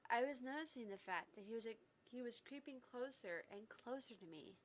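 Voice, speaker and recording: A woman's voice: fairly high pitch, expressive, a little fast, a little hiss or hum, lightly acted. A woman voicing a wounded, devoted young woman making a sorrowful confession in a visual novel read-aloud. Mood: wistful confession.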